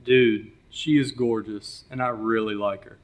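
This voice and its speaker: A man's voice: on the low side, fairly even intonation, measured pace, lightly acted. A young man playing a cocky, oblivious college student in a readers theater monologue, talking in casual slang. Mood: smug, casual. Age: young adult.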